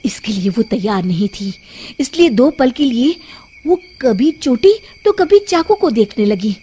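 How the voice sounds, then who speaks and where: A woman's voice: fairly high pitch, expressive, unhurried, warm tone, breathy edge. A woman narrating a scripted children's moral story as a storyteller. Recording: background music under the voice. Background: music.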